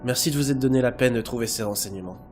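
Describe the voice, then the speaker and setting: A man's voice: mid-range voice, rapid-fire, a little mellow, close to an everyday voice. A man playing a polite, resigned android caretaker in an audio drama, speaking formally and patiently. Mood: firm, resolute. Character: polite, resigned male android governess and student. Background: music.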